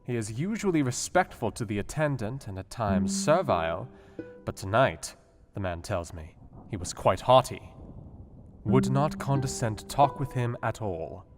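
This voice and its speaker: A Victorian asylum doctor, played by an actor dictates his diary in a radio play. The voice is fairly low, formal and reflective, analytical with a melancholy streak, over clearly heard music. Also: expressive, normal speed, natural voice. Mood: clinical.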